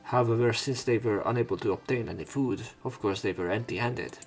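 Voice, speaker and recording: A man's voice: fairly low pitch, a little fast. A man narrating and reading dialogue aloud for a visual novel let's play, sometimes stumbling over words. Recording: game music behind voice. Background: visual novel game music.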